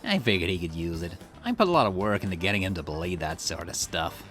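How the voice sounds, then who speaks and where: A man's voice: sits low, lively intonation, fast talker, music in the background. A man voicing a sly, menacing criminal in a visual novel, with a smug, taunting drawl. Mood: cold, evasive.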